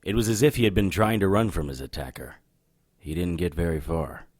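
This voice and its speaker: An actor playing a cocky, world-weary supernatural detective in a noir audio drama, sardonic and flippant. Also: male voice, sits low, a little fast, a little mellow, dry recording, clean studio sound. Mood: brooding, dry.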